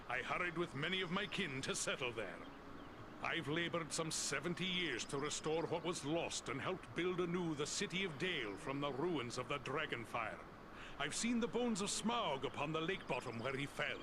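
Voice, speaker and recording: An actor playing a proud, loyal older dwarf warrior in a video game, speaking in an earnest, archaic way. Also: male voice, fairly high pitch, background noise, close to an everyday voice. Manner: earnest, formal, archaic speech. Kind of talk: video game cutscene dialogue.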